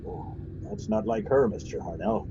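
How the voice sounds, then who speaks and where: A man's voice: lowish voice, animated, normal speed, noisy background, natural voice. An actor playing a genial, aging beat policeman in 1940s radio drama style, hesitant, folksy and polite. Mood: concerned. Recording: dull old radio recording, music behind.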